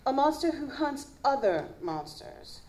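A woman playing an elegant, composed vampire in a noir audio drama, formal, poised and deliberate. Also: sits high, very animated, mellow, rough recording. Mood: silky, persuasive. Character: elegant, composed female vampire who hunts monsters. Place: live stage performance at a convention. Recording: live stage, faint background noise.

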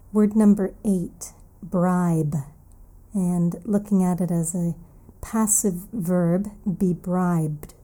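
A woman hosting an English lesson, recapping a story and then explaining vocabulary words slowly and carefully, repeating each key word. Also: fairly low pitch, mellow. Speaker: English teacher and podcast host.

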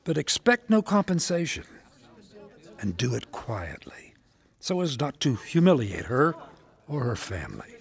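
An actor playing a wise, gentle old rabbi with a measured, wry way of questioning in a biblical audio drama. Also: male voice, medium pitch, wildly swooping pitch, gravelly, full character voice. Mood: calm counsel.

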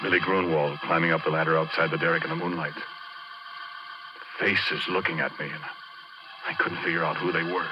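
A male actor as a low-voiced, plainspoken roughneck confiding an eerie story in old radio drama. His speech is halting and colloquial. The recording is dull and vintage, with music behind him. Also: little pitch movement, lightly acted. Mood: weary, brooding. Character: folksy working-class male oil driller telling his eerie tale. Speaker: narrator and lead character of a radio drama. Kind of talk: 1940s radio drama first-person storytelling.

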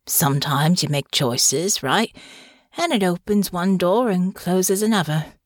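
A woman voicing a humble young male airship crewman with a soft, homey rural drawl and folksy grammar. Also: lowish voice, expressive, a little slow, dry recording, studio quality. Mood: pensive. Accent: rural country dialect. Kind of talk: audiobook character voice.

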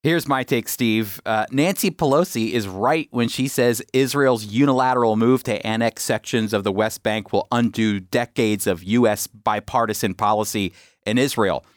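A man's voice: moderate pace, no room sound. Emphatic male Bible teacher, dry sound. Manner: earnest, emphatic, with pitch climbing on key phrases.

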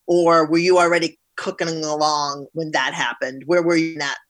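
A woman's voice: deep voice, fairly even intonation, normal speed. A woman hosting an interview show, speaking in a presenter's style as she introduces topics and questions her guest. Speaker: show host.

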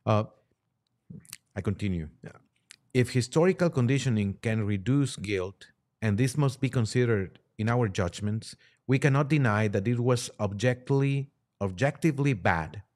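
A man reading quotes aloud and commenting on them as a podcast guest, with halting, deliberate phrasing. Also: mid-range voice, lively intonation, a little mellow.

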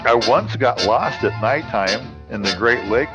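A man co-hosting a casual comedy chat, telling jokes with slow punchlines and repeating himself. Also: mid-range voice, animated, over music. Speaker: podcast co-host.

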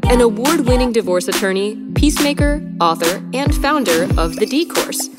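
Woman voice-over, fairly high, podcast intro read over loud hip hop backing. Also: expressive, moderate pace. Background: hip hop music.

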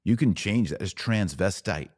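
A low-pitched American man speaks in a dry, close studio sound. He hosts an opinion podcast, pressing his points emphatically and preachily, often through rhetorical questions. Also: lively intonation, normal speed, darkish tone, no room sound. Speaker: podcast host. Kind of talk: opinion commentary monologue.

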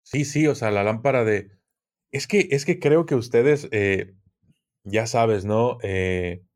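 A man's voice: mid-range voice, takes it slowly. A man, a guest on a podcast, easygoing and hesitant, talking with plenty of fillers. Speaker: guest, occult video creator. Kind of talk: casual podcast interview.

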